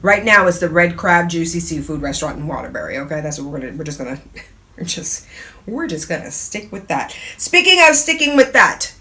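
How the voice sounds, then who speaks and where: A middle-aged woman hosting her own talk show in a chatty, casual way, laughing often and breaking into animated asides. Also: fairly low pitch, a little hiss or hum. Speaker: radio host and DJ.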